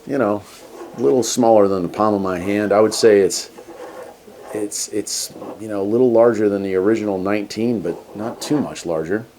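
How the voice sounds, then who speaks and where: A man's voice: lowish voice, normal speed, mellow, a little hiss or hum. A man hosting a casual hands-on product review, talking in a laid-back, folksy way. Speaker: host of a radio gear review channel.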